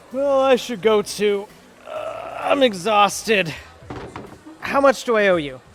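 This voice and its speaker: A man playing an uneasy, hesitant law-firm employee in an audio drama, speaking haltingly. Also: high voice, expressive, fairly bright tone, soft background music, exaggerated voice. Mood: awkward. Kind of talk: audio theatre acting.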